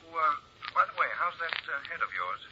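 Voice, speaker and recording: An actor playing a brisk, insistent middle-aged doctor through a telephone filter, in 1940s radio drama. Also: male voice, fairly high pitch, very animated. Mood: insistent, excited. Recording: muffled, noisy 1940s radio telephone line.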